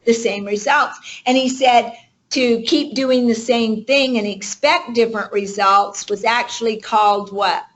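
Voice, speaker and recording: A woman's voice: fairly high pitch, steady tone, measured pace, a bit bright. A woman giving motivational coaching to a group on a conference call, emphatic and checking in with rhetorical questions. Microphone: conference call line.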